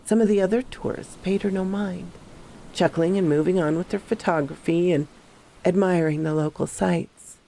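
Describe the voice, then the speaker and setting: A woman playing a troubled female park ranger who recounts her horror story in a measured, confiding first-person narration. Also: lowish voice, mellow, slight background noise, natural voice, low-quality recording. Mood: wary, recounting.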